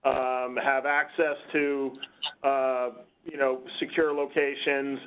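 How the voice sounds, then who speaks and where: A man moderating an unscripted meeting discussion on a conference call, speaking in a halting, hesitant way. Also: medium pitch, flat delivery, clear, resonant tone. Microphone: conference call line. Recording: muffled, phone-like conference call.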